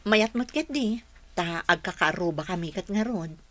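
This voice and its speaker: A woman's voice: on the low side, expressive, moderate pace, a bit bright, no echo. A woman with a Filipino accent playing a supportive neighbor in a family radio drama.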